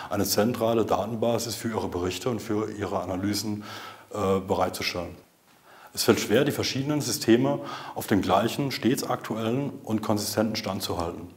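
A man in his thirties with a fairly low voice delivers a scripted corporate explainer. He speaks formally and in a measured way, like a consultant addressing clients on camera. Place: indoor video studio. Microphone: lapel mic.

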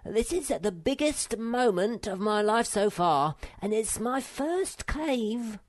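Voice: high-pitched, sing-song, measured pace, vocal fry, no echo, voice pushed up, heavily acted. A storybook narrator voicing a small, timid but self-important young male creature, earnest, plaintive and solemn. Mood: solemn, dreamy.

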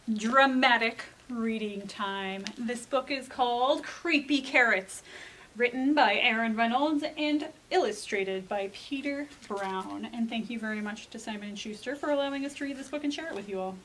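Brief: American woman, children's librarian type, highish voice, expressive picture-book delivery, some room noise behind. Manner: expressive, enunciated storytelling with dramatic pauses. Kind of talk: presenting and dramatic picture-book reading.

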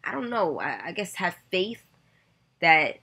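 Woman in her thirties, low voice, earnest and reflective vlogger, webcam in a bedroom. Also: big pitch swings, measured pace, pushed and bright, breathy edge. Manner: earnest, reflective, with many "you know" fillers. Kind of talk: personal storytelling to camera. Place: bedroom, indoors. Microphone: webcam at desk distance.

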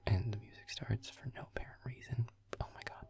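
A man playing a grumpy, sarcastic werewolf boyfriend in a gaming roleplay audio, muttering irritably with swearing in a low, breathy, gravelly voice. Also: steady tone, a little fast, a little mellow, in a whisper. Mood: frustrated.

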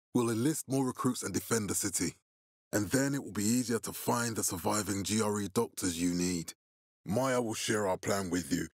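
Husky, commanding militia leader. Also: male voice, fairly low pitch, no room sound, studio quality. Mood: earnest, determined. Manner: authoritative, measured, deliberate. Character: stern, commanding male militia leader, resolute and fatherly. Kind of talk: video game voice acting.

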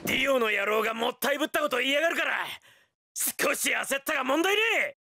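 A male actor playing a brash, upbeat, hot-blooded young fighter in a video game, talking in a rough, boisterous, energetic way. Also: extremely high pitch, fast, slight background noise, exaggerated voice. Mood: fired up. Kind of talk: Japanese video game voice acting.

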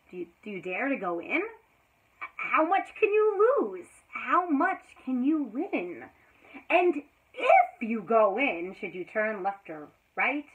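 Woman, 30s, teacher, children's read-aloud, high voice, slow deliberate reading, tinny phone-like sound. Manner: dramatic, swings between hushed and loud exclamations. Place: small indoor room before a green backdrop. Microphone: built-in camera mic at close range.

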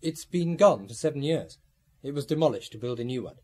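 A man's voice: medium pitch, expressive, brisk, a little mellow, close to an everyday voice. A man acting as a genteel, evasive former art student of about thirty in a radio drama, polite, apologetic and guarded. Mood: sociable, uneasy.

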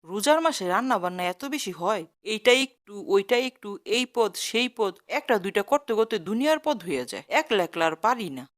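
A woman's voice: fairly high pitch, very animated, a little fast, hardly any pauses. Woman acting a nagging, overworked cartoon mother. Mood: weary complaining. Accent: Bangladeshi. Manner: nagging, colloquial. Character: overworked, scolding middle-aged mother. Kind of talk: cartoon comedy dialogue.

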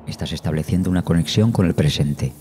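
A man narrating a guided meditation in Spanish as the guide, slowly and soothingly, with measured phrases and long pauses. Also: low-pitched, warm tone, slight background noise. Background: meditation music.

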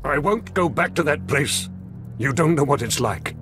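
An actor playing a weary, idealistic, defiant scientist in a video game, with a dramatic, sardonic, impassioned delivery. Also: male voice, fairly high pitch, lively intonation, moderate pace, music in the background, natural voice. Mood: bitter, impassioned. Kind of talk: video game character dialogue.

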